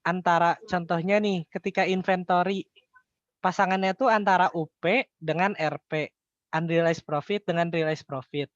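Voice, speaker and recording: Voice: medium pitch, steady tone, normal speed, no echo, dull audio. A tutor with an Indonesian accent explains things step by step in an informal, colloquial way, often posing questions and answering them. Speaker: accounting tutor.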